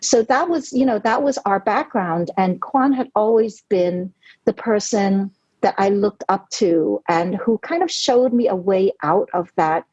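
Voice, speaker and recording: Husky-voiced woman telling her story. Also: medium pitch, expressive, unhurried, a little mellow, no room sound. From born in Hong Kong, raised in New York City. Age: 40s. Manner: earnest, reflective storytelling with frequent "you know". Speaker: novelist guest. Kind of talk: conversational podcast interview. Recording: dry, dull lo-fi audio.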